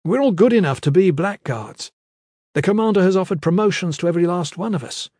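A man's voice: sits high, expressive, fairly quick, darkish tone, dry recording, clean studio sound, voice pushed up. A man voicing an earnest, principled young squad leader in an audiobook story, reasoning firmly and sincerely.

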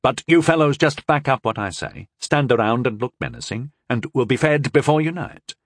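A man's voice: medium pitch, lively intonation, normal speed, no room sound, clean studio sound, natural voice. A man voicing a bold young squad leader who gives orders with authority. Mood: calm scheming.

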